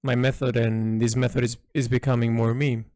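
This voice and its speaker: A man giving a reflective spoken log as an artist, thinking aloud in halting, thoughtful phrases. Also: medium pitch, flat delivery, darkish tone, no echo, studio quality. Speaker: artist narrating a design log.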